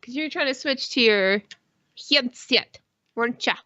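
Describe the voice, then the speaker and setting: A woman's voice: a little high, unhurried, pushed and bright, no room sound, dull audio. A woman co-hosting a casual live stream, bantering in a crude, teasing, repetitive way and swearing freely. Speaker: podcast co-host on a live stream.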